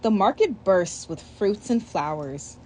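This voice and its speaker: A woman's voice: medium pitch, measured pace, slight background noise, rough recording. A young woman reading a children's picture book aloud, breaking off for chatty asides. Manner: reads with lively asides and commentary. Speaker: storybook reader.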